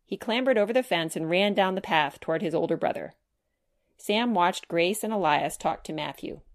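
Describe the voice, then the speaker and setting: A woman's voice: on the low side, normal speed. A woman reading a children's chapter book aloud as scripted storybook narration.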